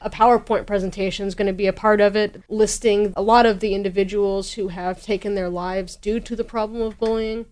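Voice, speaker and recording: A woman gives explanatory answers as an interview guest. She talks like a committed campaigner, earnest and emphatic about her cause, with a medium-pitched voice. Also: darkish tone.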